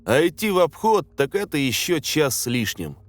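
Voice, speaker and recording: A man reading a scary story as a dramatic scripted narration, in a measured, suspenseful way. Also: fairly high pitch, big pitch swings, no room sound. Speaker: narrator of horror stories. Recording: music under the voice. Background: background music.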